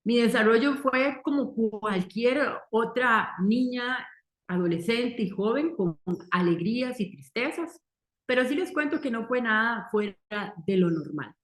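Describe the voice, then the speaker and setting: A Costa Rican woman in her forties with a Costa Rican accent, giving an earnest success-story testimonial in short, deliberate, emphatic phrases. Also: on the high side, expressive. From Costa Rica. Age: 40s. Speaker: marketing entrepreneur giving a testimonial. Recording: roomy echo.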